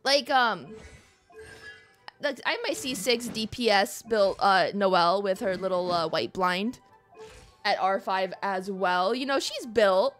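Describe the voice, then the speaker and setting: A woman streamer chatting casually and playfully about a video game, expressive and prone to giggling. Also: medium pitch, slow pace. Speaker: streamer, host. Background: music.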